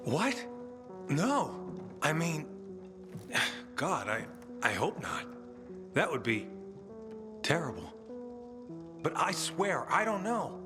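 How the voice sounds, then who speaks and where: A man's voice: fairly high pitch, very animated, slow, long pauses, over music. An actor playing a frightened, guilt-ridden male scout in a video game, stammering and pleading. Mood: guilty, desperate.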